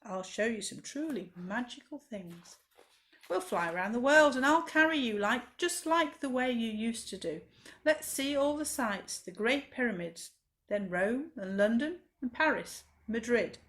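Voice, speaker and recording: A woman voicing a kind, brave little female butterfly in a children's storybook, gentle and earnest. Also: fairly high pitch, expressive, measured pace. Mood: eager. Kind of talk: children's storybook read-aloud.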